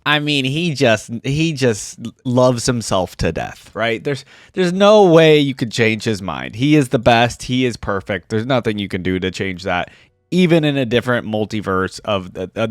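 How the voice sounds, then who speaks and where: A man hosts a reaction channel with casual commentary. His voice sits at a medium pitch. The recording is close and dry, with no room sound. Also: no room sound. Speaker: host of a voice line reaction channel.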